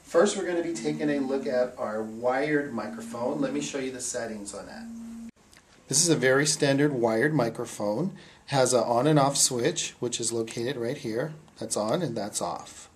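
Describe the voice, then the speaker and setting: A man in his forties with a mid-pitched voice walks through equipment setup step by step. He is plain and methodical, like an instructor doing a demonstration. The sound is roomy and picked up from a distance, with some noise underneath. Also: normal speed. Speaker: instructor for an equipment rental company. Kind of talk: hands-on equipment tutorial. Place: small indoor meeting room. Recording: roomy echo, distant mic, background hiss.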